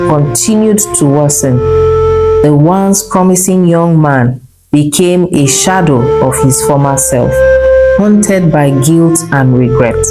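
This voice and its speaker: Lady narrator telling a folktale, pretty low voice, music really loud under her. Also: poor audio. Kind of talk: scripted folktale narration, reading all the dialogue. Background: prominent instrumental music.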